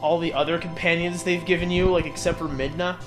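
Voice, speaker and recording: A man with a fairly high voice gives casual, joking commentary as a let's play host. He banters, teases and exclaims freely. Game music plays behind him. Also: fairly even intonation, fairly quick. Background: video game music.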